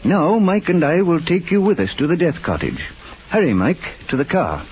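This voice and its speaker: An actor playing a calm, authoritative detective in an old-time radio drama, speaking in a measured, formal, courteous way. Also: male voice, fairly high pitch, loud music behind the voice. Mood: composed, firm. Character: calm, authoritative male detective, courteous but firm investigator. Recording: muffled, noisy old radio recording.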